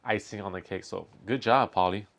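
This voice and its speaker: A man's voice: on the low side, a little fast, vocal fry, a bit bright. A man hosting a reaction video, commenting casually on what he watches.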